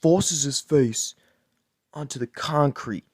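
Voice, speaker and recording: A man improvising a crude bedtime story in a deadpan, rambling way, with lots of swearing and repeated words. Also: fairly high pitch, slow, no echo, clean studio sound.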